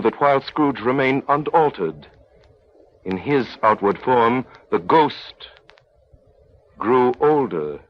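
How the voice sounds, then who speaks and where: A man narrating an old-time radio drama in a solemn, theatrical, measured manner. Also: mid-range voice. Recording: dull old radio recording with music.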